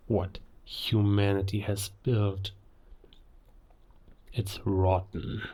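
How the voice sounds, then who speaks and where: A man playing a menacing, grandiose werewolf king, speaking theatrically in a cinematic audio roleplay monologue, contemptuous and deliberate. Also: fairly low pitch. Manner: slow, theatrical, deliberate, with hushed dramatic pauses.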